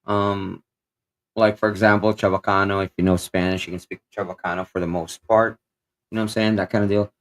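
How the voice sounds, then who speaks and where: A man with a Kapampangan accent co-hosts a relaxed livestream. His voice sits on the low side, and he reads viewer comments aloud in a meandering, easygoing way, with plenty of "um" and "you know what I'm saying". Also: fairly quick. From Philippines. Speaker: livestream co-host. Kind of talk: casual livestream chat, reading viewer comments.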